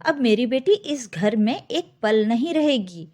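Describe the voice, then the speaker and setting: A woman's voice: a little high, animated, measured pace, warm tone. A woman voicing an indignant middle-aged father who scolds and defends his daughter in a story. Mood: outraged. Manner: scolding, outraged.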